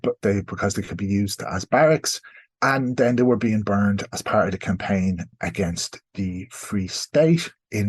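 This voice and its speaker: A man's voice: fairly low pitch, slightly rough. A man speaking as a historian guest, explaining things informally and in a considered way, with asides and examples.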